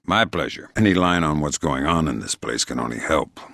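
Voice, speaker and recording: A hardened covert spy from a video game, with a very deep, gruff voice. He speaks tersely, with deadpan sarcasm. The sound is close and dry, with no room on it. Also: fairly quick, no room sound, exaggerated voice. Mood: businesslike, dry. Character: hardened, sardonic male covert spy operative. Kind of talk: video game character dialogue.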